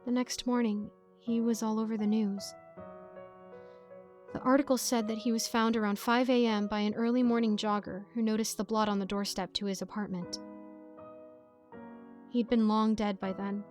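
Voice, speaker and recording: A woman's voice: a little high, little pitch movement, moderate pace, long pauses, darkish tone, soft background music. A woman reading a scripted first-person horror story as its narrator, in a dramatic style.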